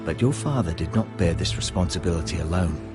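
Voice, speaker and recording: A man playing a grave older adviser to a young lord in a fantasy video game, formal and measured. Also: sits low, expressive, music in the background. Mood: solemn, instructive.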